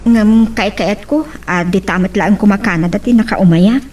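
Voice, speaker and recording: A woman's voice: medium pitch, normal speed, a little mellow, close to an everyday voice. A woman playing a caring, hardworking mother in an amateur Ilocano family drama. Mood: conversational. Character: caring hardworking mother seeking work abroad. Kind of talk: Ilocano radio-style family drama acting. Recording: distant mic, background noise.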